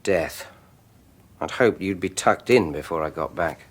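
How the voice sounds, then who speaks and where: An actor playing an obsessive, sardonic scientist with a British accent in a radio drama, speaking tersely and intensely. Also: male voice, low voice, unhurried. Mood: weary, sardonic. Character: obsessive scientist husband, brooding, bitterly sardonic new father. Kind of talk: 1980s radio drama acting.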